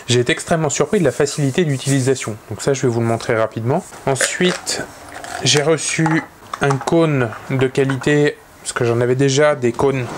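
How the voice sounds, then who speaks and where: A man in his thirties casually showing his woodworking workshop, talking in a relaxed way with many pauses and fillers. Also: medium pitch, noisy background. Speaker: woodworking channel host. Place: indoor wood workshop.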